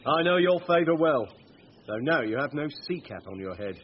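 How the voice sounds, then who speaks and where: A brusque lawman, commands in a fairly high, very varied voice. The style is classical radio drama, and the recording is dull with faint noise. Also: very animated, normal speed. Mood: stern. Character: brusque officer of the law making an arrest. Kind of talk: Shakespearean radio drama acting.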